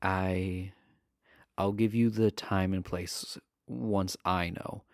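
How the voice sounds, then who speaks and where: An actor playing a shy, adorkable imprisoned villain in a romance audio roleplay, nervous, earnest and stammering. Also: male voice, sits low, fairly even intonation, takes it slowly, dry recording, studio quality. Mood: flustered, eager. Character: shy, adorkable imprisoned male villain, smitten with pen pal.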